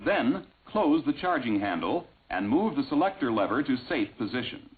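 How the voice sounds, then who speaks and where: A man reading scripted instructional narration in a measured, clearly enunciated way, on an old film soundtrack. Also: medium pitch, big pitch swings. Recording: tinny, band-limited vintage film soundtrack.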